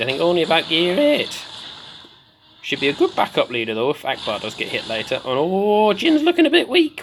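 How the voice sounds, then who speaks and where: Someone putting on the voice of a slightly mad, excitable woman doing theatrical video game commentary, repeating phrases for effect. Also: mid-range voice, creaky, slight background noise, full character voice, rough recording. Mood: excitable, curious. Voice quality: put-on comic voice. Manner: theatrical, repeats phrases, swoops between squeals and murmurs.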